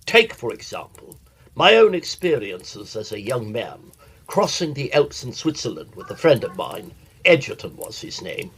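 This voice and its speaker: An actor playing a well-bred, wry gentleman traveller in a period audio drama, speaking formally and articulately. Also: medium pitch, very animated, moderate pace, husky, full character voice, low-quality recording. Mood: reflective.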